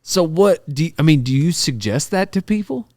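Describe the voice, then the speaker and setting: A man's voice: a little high, expressive, moderate pace, darkish tone, no room sound. A man hosting a podcast in a chatty way, asking long, rambling questions. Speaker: podcast host. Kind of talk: casual interview chat.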